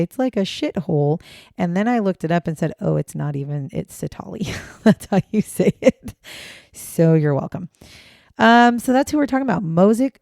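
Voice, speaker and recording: A woman co-hosting a casual true-crime podcast, her voice hoarse and raspy today, talking in a chatty, blunt way with casual swearing. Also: fairly low pitch, warm tone, no echo, clean studio sound. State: hoarse, raspy voice after choking on water. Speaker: podcast co-host.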